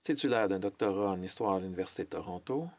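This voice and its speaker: A man giving a simultaneous interpretation of a formal parliamentary speech, measured, with hesitations and self-corrections. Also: sits low, no echo. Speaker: French interpreter of a Senate speech.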